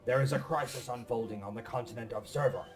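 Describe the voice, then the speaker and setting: A man playing a calm, wise mentor giving quest instructions in a fan parody cartoon dub, formal and measured. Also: medium pitch, faint music behind, lightly acted. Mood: calm, instructive.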